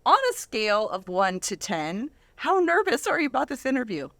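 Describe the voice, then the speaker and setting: A woman's voice: fairly high pitch, sing-song, moderate pace, a bit bright, no room sound. A woman hosting a casual studio interview, speaking in an effusive, earnest way. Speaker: host.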